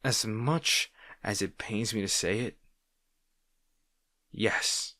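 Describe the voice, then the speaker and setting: A man playing a grudgingly protective supervillain rival in an intimate audio roleplay, sarcastic and exasperated but caring, speaking haltingly to the listener. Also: lowish voice, no echo, clean studio sound. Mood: reluctant, caring. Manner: halting, sighing, exasperated yet soft.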